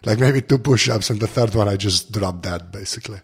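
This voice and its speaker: A man with a husky, gravel-edged voice talks casually as a podcast guest. He sounds tired and barely awake, wandering from clause to clause in an informal, self-deprecating way. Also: fairly low pitch, brisk. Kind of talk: casual chat.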